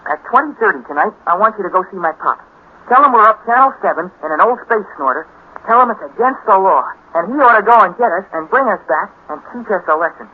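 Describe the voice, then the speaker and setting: A thin, faintly noisy 1950s radio recording carries the high voice of an excitable boy. He is earnest and pleading, pressing every point urgently as if the world depends on him. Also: quick pace, narrow, tinny audio. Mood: determined. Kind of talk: 1950s radio drama acting.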